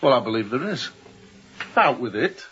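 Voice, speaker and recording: An actor playing a youngish, sceptical ship's mate in a radio drama, with a smooth, sardonic, probing manner. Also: male voice, medium pitch, very animated, normal speed, fairly bright tone, lo-fi sound.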